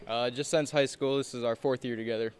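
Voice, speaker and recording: Teen goalie, talks fast, smooth voice, short quiet answers at the rink. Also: male voice, mid-range voice, fairly even intonation. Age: teens. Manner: short, quiet, understated replies. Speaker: high school hockey goalie. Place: indoor ice rink by the boards. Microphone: handheld microphone held by interviewer.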